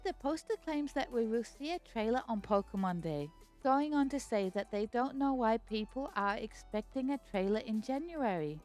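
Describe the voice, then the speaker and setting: A woman hosting her own video, reading a scripted explanation in a measured, explanatory way. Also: on the high side, darkish tone. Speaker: video host. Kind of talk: scripted narration.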